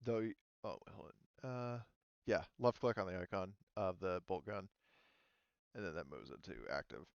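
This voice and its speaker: A man with a fairly low, clean voice runs a tabletop game. He explains rules casually and hesitantly, working things out aloud. The sound is close and dry, a little dull like voice-chat audio. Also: gravelly, no echo. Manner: hesitant, halting, thinking aloud. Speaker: game master.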